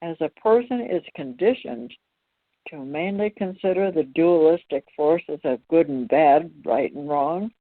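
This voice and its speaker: A woman with a notably low voice leads a spiritual discussion. She speaks very slowly and deliberately, phrase by phrase. The sound is thin and band-limited, like an online call. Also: dry recording, narrow, tinny audio. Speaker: presenter leading a spiritual discussion.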